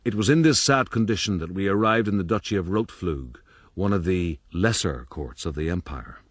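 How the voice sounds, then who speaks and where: An actor playing a proud gentleman rogue who narrates his adventures in a radio drama, measured and dryly pompous. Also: low voice, animated, breathy edge, no room sound. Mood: wry, rueful.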